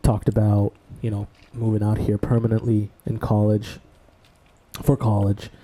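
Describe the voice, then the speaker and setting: A man from Bangladesh, co-host of a podcast, telling his life story in a relaxed, rambling way. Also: on the low side, a little slow. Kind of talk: casual podcast conversation.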